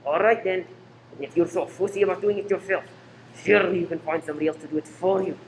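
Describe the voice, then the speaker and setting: A man's voice: sits high, fairly even intonation. Man acting a cold, menacing spy boss, background noise. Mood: smoothly menacing. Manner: measured, scornful. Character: cold, menacing male spy ringleader giving orders. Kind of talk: radio play acting. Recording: noisy room recording.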